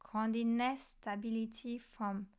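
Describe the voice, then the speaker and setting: Slow-paced woman guiding meditation. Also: on the high side, steady tone, a little mellow, clean tone. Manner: measured, pausing between short phrases. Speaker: mindfulness meditation teacher. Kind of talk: guided meditation narration.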